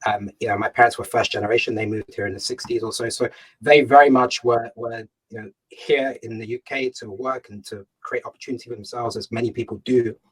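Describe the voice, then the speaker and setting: Man, Ga, founder guest on a video call; husky, earnest, repetitive, emphatic. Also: medium pitch, fairly even intonation, a little fast, low-quality recording. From United Kingdom. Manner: earnest, emphatic, repeats words, asks himself questions. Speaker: startup founder and CEO, guest. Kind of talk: fireside chat on a video call.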